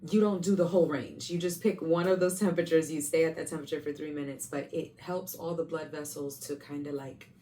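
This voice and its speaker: A woman's voice: sits low, steady tone, a little fast, hardly any pauses, warm tone. A young woman, a stage performer, chatting in a rambling, self-correcting way as she shares her routine in a casual vlog. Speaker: Broadway performer sharing tips. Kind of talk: casual vlog talk to camera. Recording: faint background hum, mic at a distance.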